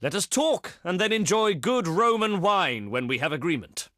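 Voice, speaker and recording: An actor playing a dignified, shrewd Roman envoy, delivering formal diplomatic oratory for a video game. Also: male voice, high-pitched, big pitch swings, normal speed, fairly bright tone, dry recording, studio quality. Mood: welcoming.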